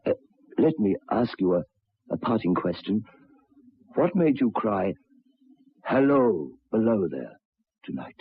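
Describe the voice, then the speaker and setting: An actor playing a troubled, educated railway signalman in an old radio drama, speaking haltingly and repeating his words. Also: lowish voice, very animated, slightly rough, no room sound, heavily acted. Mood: uneasy, intense. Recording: tinny, band-limited old radio recording.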